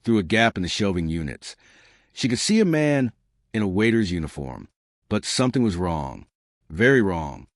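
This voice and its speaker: A man narrates a suspenseful story in a fairly low voice that rises and falls with lively movement. His delivery is dramatic and scripted. The recording is dry and close, with no room sound. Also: normal speed, no echo.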